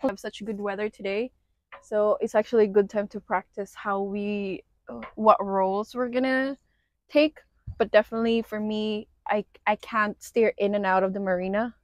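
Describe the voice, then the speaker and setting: A woman's voice: medium pitch, fairly even intonation, moderate pace, darkish tone, dry recording. A woman chatting casually for a vlog, recorded outdoors on a sailboat, laughing often and using many fillers. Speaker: vlogger. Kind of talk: casual chat.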